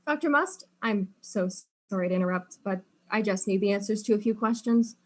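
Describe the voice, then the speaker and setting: A woman's voice: medium pitch, animated, fairly quick, heavy background noise, natural voice. Woman acting an earnest, slightly awkward detective. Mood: polite probing. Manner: hesitant, earnest questioning.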